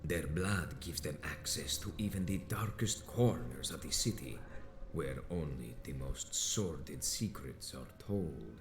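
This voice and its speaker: A man's voice: rumbling low, lively intonation, a little slow, slight background noise, full character voice. An actor playing an ancient, stern male vampire sect elder in a video game, speaking in a grave, formal and imperious way. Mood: proud, grave. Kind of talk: theatrical video game dialogue. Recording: roomy echo with game music behind.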